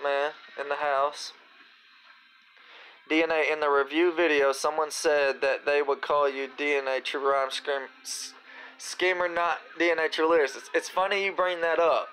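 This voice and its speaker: A man with a slightly raspy voice, hosting a casual live Q&A, reading viewer comments and answering in a laid-back, rambling way with slang. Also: fairly high pitch, a bit bright, a little hiss or hum, rough recording.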